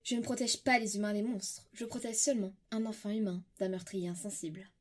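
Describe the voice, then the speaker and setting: A woman voicing a gentle, protective, motherly monster queen in a comic dub, with crowd-like noise behind. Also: medium pitch, fairly quick, lightly acted. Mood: firm, protective.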